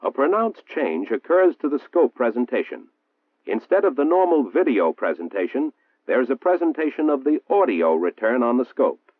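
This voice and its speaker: An American man reading a 1960s military training film narration in a formal, measured, precisely enunciated manner. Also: mid-range voice, very animated. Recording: muffled, roomy 1960s film soundtrack, phone-like.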